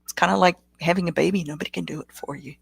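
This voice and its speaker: A woman's voice: fairly low pitch, fairly even intonation, very fast, creaky, breathy edge. Motivational middle-aged woman guest. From South Africa. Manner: motivational, reflective. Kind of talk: casual interview answers.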